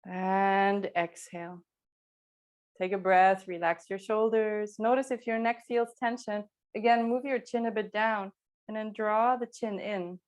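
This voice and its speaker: Female yoga instructor, mid-pitched, calm and unhurried, gently cueing breath and movement. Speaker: yoga teacher.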